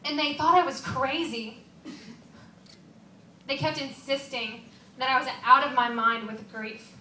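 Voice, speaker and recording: A woman performs as a devoted female disciple in mourning, telling her story as an emotional stage monologue. Her voice is high and bright, earnest and confiding. It is picked up at a distance in a roomy, echoing space. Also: steady tone. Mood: bewildered, confiding. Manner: earnest, emotional, confiding, with pauses and rhetorical questions. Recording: roomy, distant mic, faint background noise.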